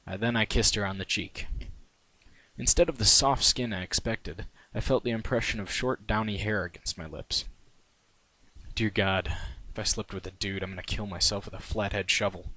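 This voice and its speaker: A man narrates a first-person comic story in a fairly low voice. His delivery is wry and conversational, like an amateur author reading his own work. The recording is close and dry. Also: steady tone, normal speed, bright, forceful tone, dry recording, low-quality recording. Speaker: reader of own fan fiction.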